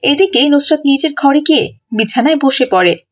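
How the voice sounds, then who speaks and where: A woman's voice: sits high, expressive, moderate pace. A woman narrating a scripted children's story as the storyteller.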